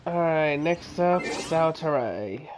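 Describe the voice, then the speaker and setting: A man casually commenting while playing a game, relaxed and chuckling to himself. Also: fairly high pitch, slow pace, slight background noise, poor audio. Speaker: streamer. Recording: game music over the voice.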